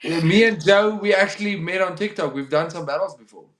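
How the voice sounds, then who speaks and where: A young man from South Africa who speaks Afrikaans first, talking in English with an Afrikaans accent and hosting a casual livestream chat, halting and repeating his words with lots of um. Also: high-pitched, fairly bright tone. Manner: hesitant, repeats words, says um often. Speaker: livestream host. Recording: roomy echo.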